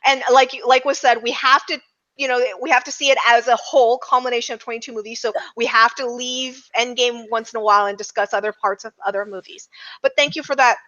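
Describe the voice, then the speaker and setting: A woman's voice: fairly high pitch, steady tone, quick pace, sharp tone, highs cut off. A woman chatting casually and emphatically as a panelist in a film discussion. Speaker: podcast panelist.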